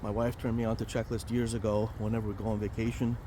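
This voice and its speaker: A man hosting a casual vlog while walking outdoors near a highway, chatty and rambling with many fillers and restarts. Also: little pitch movement, fast, background noise. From Romania. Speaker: vlog host, financial planner.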